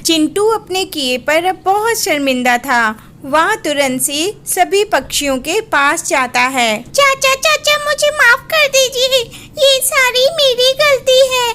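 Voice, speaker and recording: A woman narrating a children's cartoon story in an even storybook telling. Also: sits high, slight background noise.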